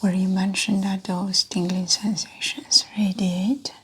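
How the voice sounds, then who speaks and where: A woman in an ASMR medical roleplay, speaking in a soft, breathy whisper and calmly explaining each step as the examiner. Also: medium pitch, a little slow, low-quality recording.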